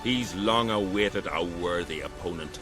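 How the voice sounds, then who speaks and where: An actor playing a proud island arena master in a video game, speaking ceremonially in archaic turns of phrase. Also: male voice, low-pitched, unhurried. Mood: solemn, proud. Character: proud island arena master, solemn keeper of fighting tradition. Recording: music behind the voice. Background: game music.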